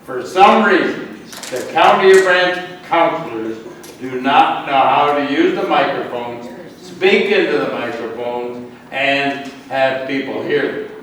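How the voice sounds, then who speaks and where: Slow, booming man in an echoey hall. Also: fairly bright tone. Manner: says he has a strong, carrying voice. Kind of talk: town-hall question and comment. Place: public meeting hall. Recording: echoey hall, distant mic, faint noise.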